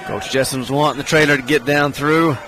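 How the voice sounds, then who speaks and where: A man giving color commentary at a high school basketball game, explaining spacing, screens and rebounds like a coach. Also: steady tone, fairly quick, music in the background. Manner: coach-like breakdown of plays and spacing. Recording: game broadcast with gym noise. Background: crowd and court noise of the game.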